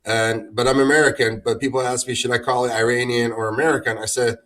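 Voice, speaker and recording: A man from Iran who now lives in the United States talks as a guest on a talk show, relaxed and emphatic, often repeating words as he starts a point. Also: mid-range voice, fast, distant mic. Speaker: guest, former congressional candidate. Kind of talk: casual interview talk.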